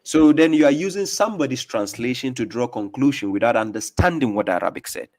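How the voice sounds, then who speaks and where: A man's voice: medium pitch, lively intonation, quick pace, a little mellow, dry recording, studio quality. A man with a Ghanaian accent hosting a live religious debate, pressing his guest with pointed, challenging questions. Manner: pressing, challenging questions, rising sharply when countering.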